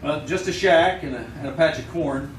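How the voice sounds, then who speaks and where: An actor playing a watchful, plainspoken US marshal with an American accent in an old-time radio western. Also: male voice, on the high side, normal speed, slight background noise, close to an everyday voice. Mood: matter-of-fact. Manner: steady, plainspoken, dry. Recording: echoey, distant old radio recording.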